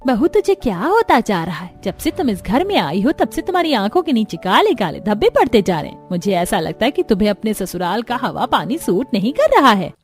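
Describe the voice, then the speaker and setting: A woman's voice: medium pitch, big pitch swings, a little fast, hardly any pauses, soft background music, close to an everyday voice. A woman voicing a middle-aged, concerned and bossy Indian mother-in-law in a cartoon family story, with exaggerated expression.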